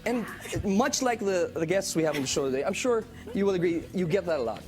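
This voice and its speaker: Lively lady host asking guests stuff, voice goes all over, music under it. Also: lowish voice, brisk. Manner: lively, prompting guests with questions. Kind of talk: talk show interview.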